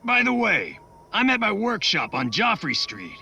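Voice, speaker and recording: A man's voice: very high voice, big pitch swings, a little slow, creaky, bright, forceful tone, slight background noise, exaggerated voice. A man voicing a chatty, eccentric tinkerer talking over the radio in a video game. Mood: upbeat, chatty. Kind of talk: video game cutscene acting.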